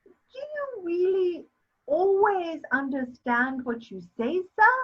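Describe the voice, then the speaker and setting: Woman acting a haughty high-voiced old aristocratic mother. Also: lively intonation, slow pace, heavily acted. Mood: offended. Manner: haughty, emphatic, declamatory. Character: imperious, scolding elderly aristocratic mother, easily offended. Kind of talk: theatrical dramatic reading of period comedy. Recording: dull lo-fi sound.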